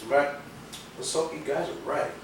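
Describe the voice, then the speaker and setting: A man's voice: a little high, steady tone, measured pace. A man acting a cocky, skeptical, wisecracking rebel husband in a playful, theatrical stage style. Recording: echoey stage videotape, distant, faint noise.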